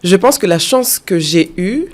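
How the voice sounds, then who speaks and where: A woman's voice: on the low side, expressive, unhurried. A woman in her thirties from France, talking about her life as an interview guest, with many fillers and repeated phrases. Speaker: guest, content creator. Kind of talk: personal interview conversation.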